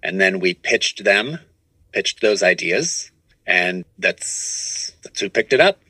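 A man's voice: sits low, animated, slow pace, sharp tone, breathy edge, no room sound, rough recording. A man chatting casually as a podcast guest, rambling and often restarting his sentences, recorded in a home studio. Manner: rambling, self-correcting with repeated false starts. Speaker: podcast guest, improv comedian and producer. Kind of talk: casual interview chat.

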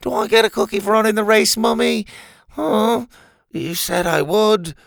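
A man acts out a goofy storybook professor. The voice is pitched up from an ordinary male register, with a theatrical, comic delivery. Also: normal speed, vocal fry, fairly bright tone, no room sound, studio quality, full character voice. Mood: dazed, childish. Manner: blustering, theatrical. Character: blustering, goofy male dinosaur teacher, adult.

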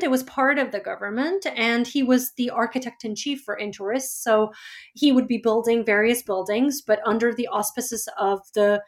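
A woman in her thirties from Georgia, whose first language is Georgian, telling her life story in a reflective, measured way. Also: a little high. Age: 30s. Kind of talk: personal storytelling.

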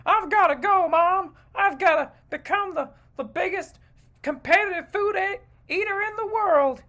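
A man's voice: extremely high pitch, measured pace, sharp tone, pitched above the performer's own voice, heavily acted. A man voicing an eager, earnest young boy hero in a melodramatic parody movie trailer. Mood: eager, determined. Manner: exclamatory, earnest.

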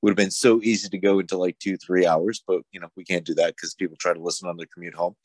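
A man's voice: sits low, little pitch movement, fast, no echo. A man co-hosting a podcast, congested, thanking the guest and listeners with dry humor. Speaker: podcast co-host. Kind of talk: casual podcast chat, sign-off.

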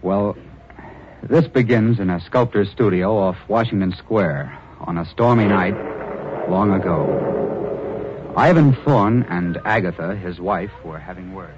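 An actor playing a troubled newspaper reporter narrating a grim mystery in halting, dramatic 1940s radio style. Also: male voice, sits low, background noise. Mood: grave. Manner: halting, dramatic first-person storytelling. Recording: dull old radio recording with loud music.

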